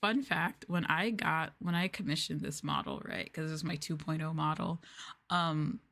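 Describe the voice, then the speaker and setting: Woman streamer, casual chat, rambling, giggly, slightly low voice. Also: fairly even intonation, normal speed, fairly bright tone. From United States. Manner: rambling, filler-heavy with lots of "like"; giggly and playful. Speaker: VTuber streamer.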